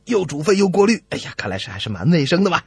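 A man with a very husky, fairly high voice hosts a radio comedy show. He tells jokes in an animated, exclamatory way, in a dry studio with no room sound. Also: racing, dry recording. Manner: exclamatory, reactive banter. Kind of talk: animated joke telling with character voices.

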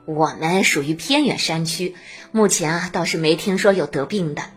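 An actress playing a warm, dutiful middle-aged canteen worker and mother in a radio drama, chatty and earnest. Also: fairly low pitch, moderate pace, slightly rough, soft background music. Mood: warm, cheerful. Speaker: narrator and lead character. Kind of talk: radio drama acting with first-person narration.

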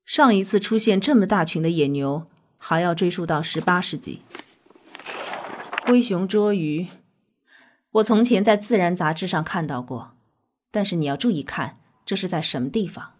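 A woman playing a calm, earnest scientist, explaining in a measured way in an audio drama. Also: fairly low pitch, close to an everyday voice. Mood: measured, serious. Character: calm, earnest female scientist with mystical faith.

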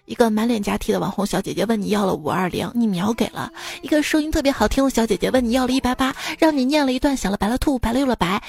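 Casting: young female comedy host, higher-pitched, teasing and theatrical, chatting with music underneath. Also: gravelly. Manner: playful, teasing, theatrical delivery. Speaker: host of a comedy joke show. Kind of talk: chatty humorous monologue over music. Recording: music bed behind the voice. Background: background music.

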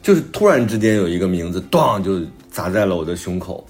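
A man's voice: sits low, very animated, brisk, a little mellow, soft background music. A middle-aged man talking casually as a novelist, telling stories and acting out bits of quoted conversation. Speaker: novelist guest discussing own book.

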